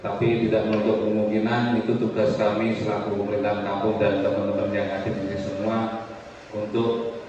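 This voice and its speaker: A man's voice: on the low side, a little mellow, dull audio. A man speaking as village head to residents, earnest and persuasive. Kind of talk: speech to villagers. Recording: echoey, distant with noise.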